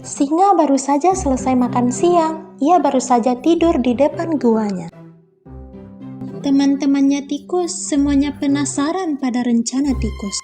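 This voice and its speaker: A young woman from Indonesia, a student, calmly narrating a children's fable. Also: high-pitched, measured pace, soft background music. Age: young adult. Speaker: student storyteller. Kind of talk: scripted children's story narration.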